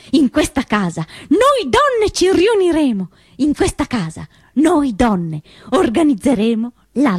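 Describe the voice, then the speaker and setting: A woman's voice: high-pitched, no room sound, studio quality. A woman on stage playing a commanding partisan organiser who rouses young women, emphatic and conspiratorial. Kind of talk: theatrical stage monologue.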